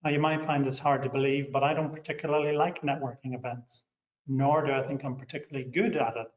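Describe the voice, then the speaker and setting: A middle-aged man with a Northern Irish accent, a company director giving a measured, scripted overview of his organisation. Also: mid-range voice, steady tone. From Northern Ireland. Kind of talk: scripted promotional talk. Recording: echoey, muffled room sound.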